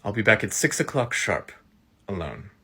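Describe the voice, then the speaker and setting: A voice actor playing a calm, kindly older gentleman who speaks softly and slowly. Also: low voice, breathy edge, voice pushed down, exaggerated voice.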